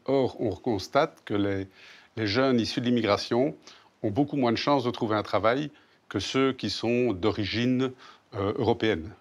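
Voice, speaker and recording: A man over fifty, a mentor, explaining deliberately and slowly in an interview. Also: mid-range voice. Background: soft music.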